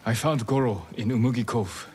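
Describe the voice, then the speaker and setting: An actor playing a stern young samurai lord, terse, formal and restrained, in cinematic game dialogue. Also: male voice, medium pitch, normal speed, mellow, lightly acted. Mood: resolute. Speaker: video game protagonist. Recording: music under the dialogue.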